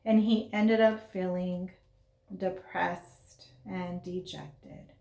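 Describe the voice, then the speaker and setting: A woman reading a children's story aloud in an expressive, dramatic way, with asides and questions to young listeners. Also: on the low side, drawn-out words, gravelly, dull audio. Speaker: storybook reader. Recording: roomy, echoing sound.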